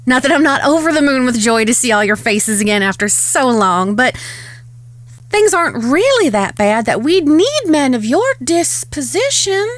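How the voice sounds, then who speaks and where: A woman playing a proud, sharp-tongued ranch woman in a western audio drama, composed and stern. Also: high-pitched, sing-song, normal speed, no echo. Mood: guarded.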